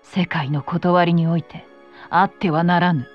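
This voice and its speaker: A woman's voice: on the low side, fairly even intonation, measured pace. A woman voicing a proud ancient female spirit who scorns humans in a game cutscene, speaking in haughty, archaic, commanding phrases. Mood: scornful. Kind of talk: video game cutscene acting. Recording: dull muffled sound under loud music.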